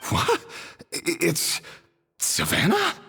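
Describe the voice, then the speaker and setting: Husky, eager young judge. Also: mid-range voice, wildly swooping pitch, takes it slowly, sharp tone, exaggerated voice. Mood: surprised. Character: eager, hesitant young food judge, good-natured. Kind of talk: video game voice acting. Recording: reverberant, with music underneath.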